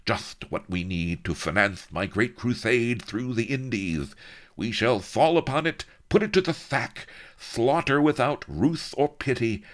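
A man's voice: mid-range voice, lively intonation, measured pace, a bit bright, pitched above the performer's own voice. Mad old tyrant viceroy with a lisp and loose dentures, roaring and gloating, a man plays him in a comic audiobook. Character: lisping greedy mad old male viceroy.

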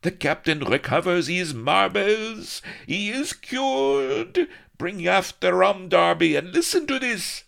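A man's voice: high-pitched, very animated, slow pace, creaky, a bit bright, voice pushed up, exaggerated voice. A man voicing a rowdy pirate crew, roaring and cheering in raised voices.

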